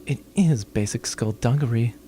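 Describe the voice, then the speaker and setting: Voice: medium pitch, normal speed, dark tone, a little hiss or hum, natural voice. A performer playing a smug, urbane villain with a wry, condescending manner in a comedic sci-fi audio drama. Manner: wry, condescending, florid wording.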